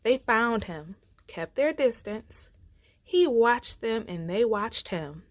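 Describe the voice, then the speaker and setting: Female read-aloud storyteller for kids, very expressive, takes her time, folksy and dramatic. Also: a little high, slow pace, dry recording. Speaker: storyteller reading aloud for children.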